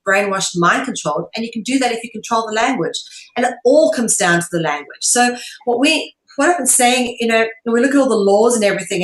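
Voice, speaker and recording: A woman being interviewed, explaining her ideas at length in an earnest, emphatic, lecturing way. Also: medium pitch. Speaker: interview guest, online show presenter. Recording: echoey room.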